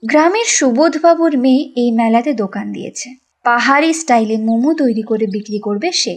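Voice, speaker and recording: A woman narrating a cartoon story in a lively, sing-song way. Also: on the high side, a little fast.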